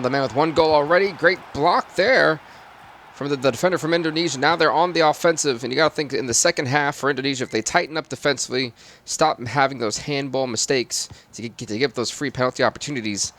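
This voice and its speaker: A man with a raspy voice doing live football game commentary and stream chat in sportscaster phrasing. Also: mid-range voice, fast, a bit bright.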